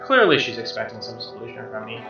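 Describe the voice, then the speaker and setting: A man's voice: mid-range voice, sing-song, fast talker, echoey room, lo-fi sound. A man reading visual novel narration aloud as a let's player, with offhand asides. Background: soft game music with guitar.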